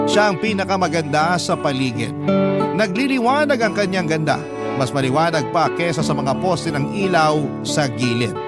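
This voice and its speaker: A man's voice: fairly high pitch, close to an everyday voice. A man playing a lovestruck young Filipino narrator, telling his romance sentimentally as scripted radio drama. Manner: sentimental storytelling. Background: loud guitar music.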